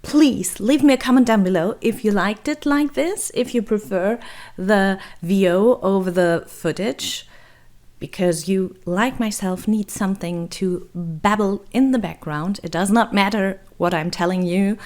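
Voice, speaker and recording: A young woman from Germany with a hoarse, raspy voice and vocal fry, chatting through a travel vlog voice-over in a rambling, self-deprecating way. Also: mid-range voice, unhurried, a little mellow. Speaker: travel vlogger. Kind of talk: voice-over narration.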